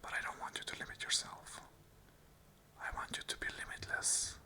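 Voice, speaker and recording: An ASMR host whispering an unscripted monologue of earnest, repeated affirmations in short phrases. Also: moderate pace. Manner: whispers the whole way, soft and unvoiced.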